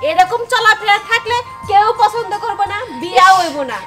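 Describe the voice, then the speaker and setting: A woman's voice: very high voice, moderate pace, over music. A woman with a Bangladeshi accent playing a strict, exasperated mother who scolds and nags in a comedy drama. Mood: scolding. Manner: scolding, nagging.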